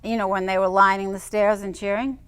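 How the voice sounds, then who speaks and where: A woman reading her own personal writing aloud and chatting about it in a reflective, unhurried way. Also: medium pitch, little pitch movement, fairly bright tone, clear, resonant tone. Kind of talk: spoken reading of personal memoir and reflective chat.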